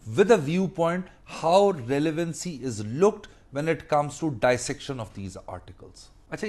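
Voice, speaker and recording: A man with a Pakistani accent giving an explanatory legal lecture as a mentor, emphatic, repeating key phrases and mixing Urdu with English. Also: a little high. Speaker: law teacher and mentor.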